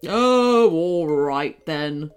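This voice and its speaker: Woman voicing a loud, sulky storybook snow monster. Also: deep voice, lively intonation, clean tone, soft background music, pitched below the performer's own voice, heavily acted. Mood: grudging. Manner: loud, drawn-out, sulky delivery. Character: grumpy lonely snow monster, sulky male creature. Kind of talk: children's storybook character voice.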